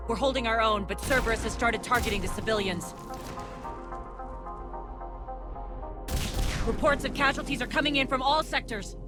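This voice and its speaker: A woman's voice: fairly high pitch, fast talker, lightly acted. A woman plays a female resistance commander in a game, firm and earnest, protective of her people. She reports in a soldierly way with music swelling behind her. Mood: urgent. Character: principled, resolute female militia leader, protective of civilians. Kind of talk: video game cutscene acting.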